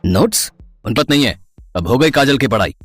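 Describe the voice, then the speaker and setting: A woman voicing a harsh, gruff middle-aged father in a cartoon story, with faint music behind. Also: a bass voice, lively intonation, normal speed, dry recording, pitched below the performer's own voice, heavily acted. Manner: gruff, barking.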